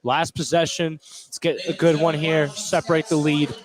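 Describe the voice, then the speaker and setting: An American man with a slightly high voice provides color commentary on basketball. His delivery is conversational and slangy, like casual analysis between plays. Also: level tone, moderate pace, a crowd in the background, poor audio. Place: indoor high school gym.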